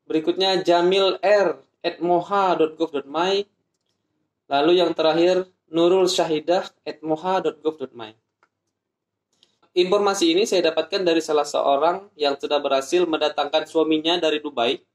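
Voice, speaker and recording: A man from Indonesia explaining an application process step by step in a vlog, spelling out addresses slowly. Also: on the high side, roomy sound. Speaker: vlogger.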